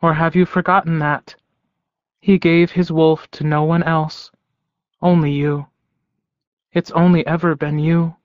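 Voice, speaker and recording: Man playing motherly female werewolf for audiobook: raised pitch, calm, perceptive, gently probing. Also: clean tone, no echo, dull audio, natural voice. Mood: earnest reassurance. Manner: calm, knowing, deliberate phrasing.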